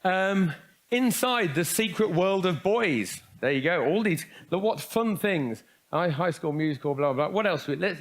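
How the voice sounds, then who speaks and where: A man giving a humorous talk at a youth conference, full of wry jokes and rhetorical questions. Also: sits high. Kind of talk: humorous talk to young people.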